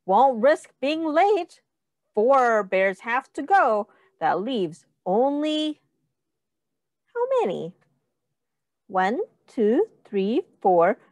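High, sing-song woman reading to children. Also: big pitch swings, drawn-out words, a little mellow, no echo, clean studio sound. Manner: sing-song, encouraging, child-directed, asking questions. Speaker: teacher reading a picture book aloud. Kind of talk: children's read-aloud with counting prompts.

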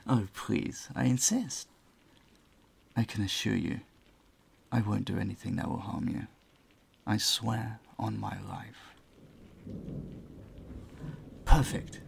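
A man playing a courteous, charming vampire in an intimate audio roleplay, soft-spoken, breathy and soothing, often close to a whisper. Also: lowish voice, slow, pauses often, full character voice. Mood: inviting, reassuring. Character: gentle, courteous male vampire, charming and doting. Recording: faint ambient noise behind the voice.

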